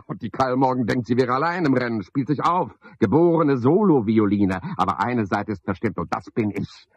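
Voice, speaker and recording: An actor playing a garrulous, pompous, scheming porter in a radio play, wordy and self-important, speaking in rambling clipped phrases. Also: male voice, medium pitch, animated, moderate pace, breathy edge, no room sound. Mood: scornful, boastful. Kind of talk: radio play monologue, theatrical. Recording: dull 1970s radio play recording.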